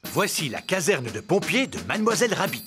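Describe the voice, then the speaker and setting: A man's voice: fairly high pitch, big pitch swings, a little fast. A man reading scripted narration for a children's cartoon. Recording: music under the voice. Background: loud cartoon music.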